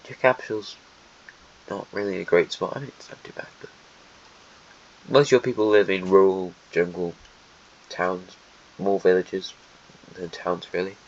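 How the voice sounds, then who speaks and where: Stuffy-nosed guy rambling sarcastic map commentary, lowish voice, muffled mic, keyboard tapping. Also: fairly even intonation, normal speed, pauses often. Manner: rambling, sarcastic asides to countries. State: stuffy nose, snotty. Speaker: host narrating a map simulation. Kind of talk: casual commentary over a map. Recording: dull lo-fi mic, faint hiss.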